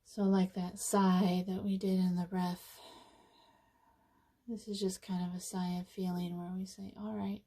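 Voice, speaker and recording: A woman guides a meditation in a slightly low, mellow voice. She takes her time, leaving pauses between short phrases. Her wording is casual and conversational, delivered in a soothing, very unhurried way. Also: flat delivery.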